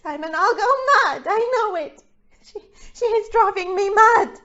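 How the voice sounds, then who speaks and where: A dull lo-fi audio-play recording of a woman playing an anxious young bride. Her very high voice moves slowly, fragile and pleading. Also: animated, a bit bright, full character voice. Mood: near panic. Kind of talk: amateur audio play acting. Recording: dull, muffled lo-fi.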